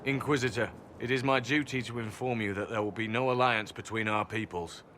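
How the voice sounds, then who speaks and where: An actor playing a stern, dutiful agent with a formal, disdainful manner in a fantasy video game. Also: male voice, fairly low pitch, fairly even intonation, brisk, fairly bright tone, slight background noise, lightly acted. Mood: cold, formal. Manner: formal, severe. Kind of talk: video game cutscene dialogue.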